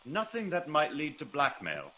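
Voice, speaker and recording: An actor playing a keen, commanding detective, probing and theatrical, in a classic radio drama. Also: male voice, a little high, wildly swooping pitch, moderate pace, sharp tone, heavily acted. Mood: inquisitive. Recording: noisy old radio recording.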